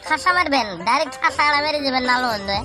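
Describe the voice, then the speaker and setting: High-pitched, whiny cartoon village prankster. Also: male voice, animated. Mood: cheeky, hurried. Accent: rural regional Bengali. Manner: exaggerated, grumbling, slangy, with drawn-out wails. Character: cheeky, jobless young village prankster, self-pitying and whiny. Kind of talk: comic cartoon monologue. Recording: loud music under the voice. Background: loud music.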